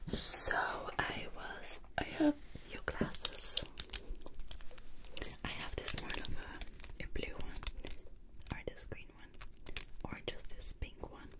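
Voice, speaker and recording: Young woman, breathy whisper, very slow pace, hushed ASMR roleplay, short murmured phrases, close-miked. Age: young adult. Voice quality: breathy, mostly unvoiced whisper. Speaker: ASMR creator playing a stylist in a makeover roleplay.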